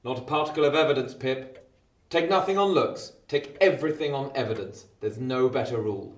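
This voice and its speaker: An actor playing a cautious, domineering lawyer, clipped and pedantic in a radio play. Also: male voice, mid-range voice, fairly quick, recorded from a distance, natural voice. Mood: stern, exacting. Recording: echoey room, off-mic.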